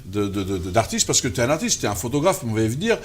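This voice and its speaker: Fast-talking stammering male host. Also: mid-range voice, sing-song, a little hiss or hum. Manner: rambling, stammering repeats. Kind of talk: casual interview questions.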